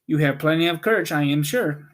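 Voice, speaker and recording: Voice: mid-range voice, fairly quick, natural voice. A reader voicing a meek, kindly little man who is a humbug wizard, apologetic and reassuring, in a storybook. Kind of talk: storybook character reading.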